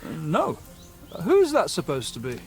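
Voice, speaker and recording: An actor playing a nervous, gullible young medieval bandit in a game, with a hesitant, stammering, excitable way of talking. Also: male voice, on the high side, slow, soft background music, full character voice. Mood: evasive, uneasy. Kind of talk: video game dialogue acting.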